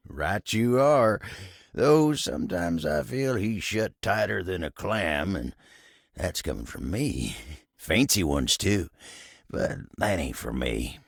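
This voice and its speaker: A man's voice: low-pitched, creaky, no echo, studio quality, heavily acted. A man voicing a friendly, humble, hard-working talking table in a video game, with a folksy, neighborly American country drawl. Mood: easygoing, chatty.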